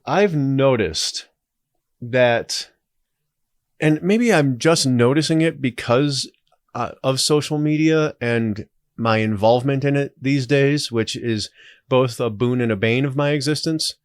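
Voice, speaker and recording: A man's voice: medium pitch, measured pace, smooth, no room sound, clean studio sound. A man in his forties talking casually as a podcast guest, reflective and opinionated. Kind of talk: casual conversational interview.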